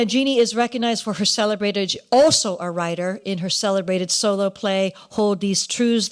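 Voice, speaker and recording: A woman with a mid-range voice emcees an awards ceremony before a live gathering. Her delivery is partly off the cuff, animated and playful, moving between formal announcements and loose asides. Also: mid-range voice. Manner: drops Japanese phrases into English.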